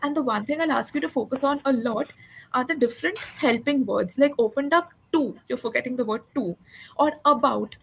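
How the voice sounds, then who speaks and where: Woman, tutor, high voice, patient and encouraging, faint background noise. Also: a little fast, gravelly, rough recording. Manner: encouraging, patient, explains grammar step by step. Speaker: English tutor. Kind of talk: one-on-one spoken English lesson.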